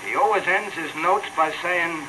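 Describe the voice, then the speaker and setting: An uneasy, wisecracking American beat cop played by a man in a 1930s radio play. His voice sits a little high, under the clear noise of an old broadcast recording. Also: moderate pace, close to an everyday voice. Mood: uneasy, chatty. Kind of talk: 1930s radio drama acting.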